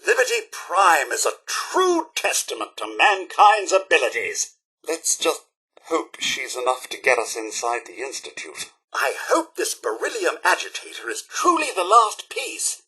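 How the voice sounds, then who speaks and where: A prim British robot butler for a video game. The voice sits high and swoops dramatically up and down, with a bright, forceful edge. The manner is courteous, fussy and theatrical. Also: male voice, huge pitch swings, unhurried, slightly rough, full character voice, rough recording. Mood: intrigued, earnest. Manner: proper, deferential, theatrical. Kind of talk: scripted video game companion lines.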